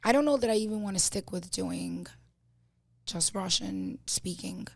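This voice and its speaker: A woman's voice: mid-range voice, a little slow, gravelly, dry recording. A woman in her thirties with a New York accent, chatting as a podcast guest and telling stories full of fillers. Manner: chatty storytelling, lots of fillers. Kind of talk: casual podcast chat.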